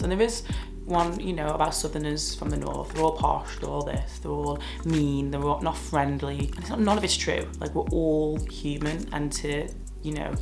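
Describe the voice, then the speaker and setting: A woman's voice: sits low, gravelly. A woman in her twenties from the north of England with a northern English accent, talking bluntly in a casual Q&A vlog. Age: 20s. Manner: blunt and outspoken. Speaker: model and vlogger.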